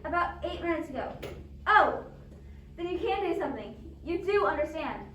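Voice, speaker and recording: A woman's voice: very high-pitched, lively intonation, moderate pace, a bit bright. A woman playing an anxious, demanding bedridden wife in a suspense play, stammering and insistent. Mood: agitated, insistent. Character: anxious, demanding bedridden invalid wife, fretful and self-absorbed. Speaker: amateur drama club actor. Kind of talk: stage adaptation of a radio suspense play. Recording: roomy echo, distant mic, background noise.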